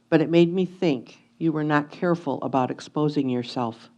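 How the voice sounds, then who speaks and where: Female actor as anxious, inquisitive pioneer wife, warm dark tone, deliberate letter reading for reader's theatre. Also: sits low. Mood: concerned, affectionate. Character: anxious, curious 1850s wife writing to her absent husband.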